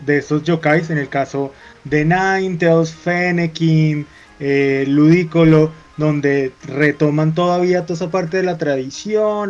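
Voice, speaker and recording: A man's voice: a little high, darkish tone, muffled recording. A man with a Colombian accent, giving thoughtful, emphatic commentary as co-host of an anime talk show. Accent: Colombian Spanish.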